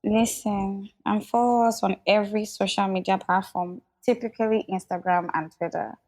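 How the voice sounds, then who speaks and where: A woman co-hosts a casual podcast. Her voice sits at a middle pitch, and she keeps chiming in with quick agreement. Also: steady tone, darkish tone, poor audio. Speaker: podcast co-host. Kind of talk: casual chat.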